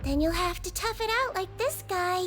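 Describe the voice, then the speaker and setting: Casting: a soft-spoken creature doctor, very high childlike voice, calmly sly, game audio. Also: female voice, moderate pace. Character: gentle childlike female Melusine doctor. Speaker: prison doctor. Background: faint game music.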